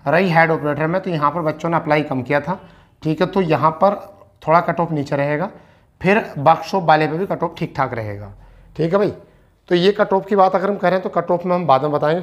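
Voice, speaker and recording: Higher-pitched man teaching, repeating key points. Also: brisk. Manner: repeats key phrases for emphasis. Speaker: exam coaching teacher. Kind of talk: informal explanatory lecture to students. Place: indoor teaching studio in front of a display board. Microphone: lapel mic.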